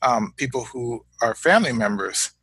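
A Canadian man and father of young children, talking as a guest in a reflective interview, measured and halting, often repeating words as he thinks. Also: medium pitch, expressive, a bit bright, breathy edge, no echo.

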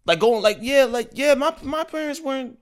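A man's voice: very high voice, moderate pace, smooth. A man from the American South co-hosting a casual podcast chat, swearing freely. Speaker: podcast co-host.

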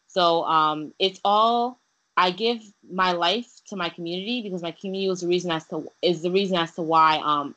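A woman's voice: lowish voice, bright, forceful tone, low-quality recording. A young woman from Haiti, a guest in a casual interview, talking earnestly with many fillers and restarts. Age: young adult.

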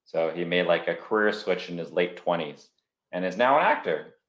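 A man hosts a podcast interview in a relaxed, conversational manner, his voice sitting fairly low. He records remotely from a room with noticeable echo around him. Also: moderate pace. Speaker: podcast host.

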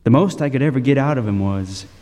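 A man playing a lighthouse keeper, narrating a radio drama in a brooding, lyrical, deliberate way with dramatic emphasis. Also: mid-range voice, animated, dark tone, lightly acted. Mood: wry. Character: world-weary, vivid storytelling lighthouse keeper, male. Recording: long reverb, music behind.

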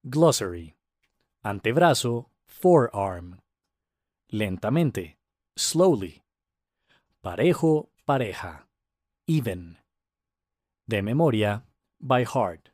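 A man narrating a scripted story for language learners, with careful, deliberate enunciation. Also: fairly low pitch, big pitch swings, darkish tone, no echo, clean studio sound.

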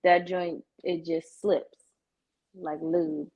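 A woman's voice: on the low side, flat delivery, slow pace, muffled recording. Frank, sassy American woman chatting casually. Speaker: salon client, guest. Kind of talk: casual chat.

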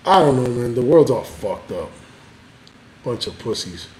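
A man rambles through a loose podcast chat, cursing freely and stacking up filler words. His voice is mellow and dark. The room is echoey, with a faint hum of noise behind him. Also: mid-range voice, big pitch swings, a little slow. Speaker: podcast co-host. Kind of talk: casual chat.

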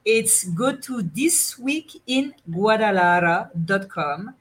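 A woman's voice: mid-range voice, animated, slow, a bit bright. A woman with a French accent chatting casually as a guest on a radio show. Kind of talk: casual chat.

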